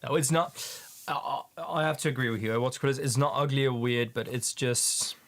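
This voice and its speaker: A man's voice: mid-range voice, fairly even intonation, fairly bright tone. A man from the Netherlands with a Dutch accent, chatting casually with viewers on a livestream and often stumbling and repeating words. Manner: halting, repeats words, many ums. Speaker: livestream host, paint maker.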